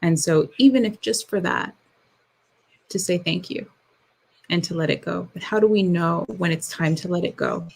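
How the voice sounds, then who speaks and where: Guest: woman declutter coach, low gravelly voice, slow and thoughtful. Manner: slow, reflective, searching for words. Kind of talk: casual interview talk.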